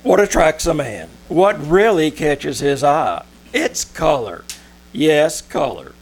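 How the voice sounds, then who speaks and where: A man playing a persuasive pitchman in a 1940s radio commercial, with emphatic, measured sales patter. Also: animated, gravelly, heavily acted. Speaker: commercial announcer.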